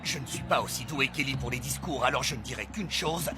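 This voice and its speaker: Un comédien de doublage qui joue un jeune joueur de dessin animé, modeste et franc, qui motive son équipe. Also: male voice, mid-range voice, expressive, a little fast, lightly acted. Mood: rallying. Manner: rallying, straightforward. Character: young male team player, earnest and modest leader. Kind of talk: animated series dub acting. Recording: loud music behind.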